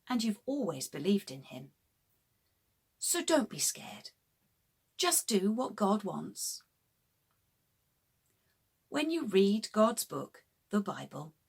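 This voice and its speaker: A woman reads as an earnest man writing to a dear friend in a children's storybook. The voice is husky and slow. The words come gently and simply, full of encouragement. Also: medium pitch, exaggerated voice. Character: Paul, earnest male friend writing a reassuring letter.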